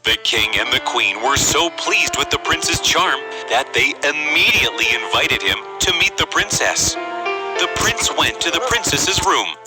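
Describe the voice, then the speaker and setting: A man's voice: fairly high pitch, very animated, a little slow, poor audio. A man narrating a scripted fairy tale as a storyteller, with music behind him.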